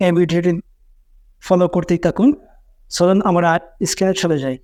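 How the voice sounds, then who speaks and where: High-pitched man giving a tutorial. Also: flat delivery, moderate pace, warm tone, clear, resonant tone, no room sound, clean studio sound. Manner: repetitive, instructional phrasing. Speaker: tech tutorial host. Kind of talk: step-by-step how-to video narration.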